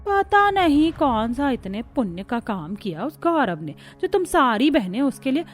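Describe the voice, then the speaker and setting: Domineering middle-aged mother female voice actor, sharp-tongued scolding in cartoon melodrama, very high pitch. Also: very animated, measured pace, faint music behind. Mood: mocking.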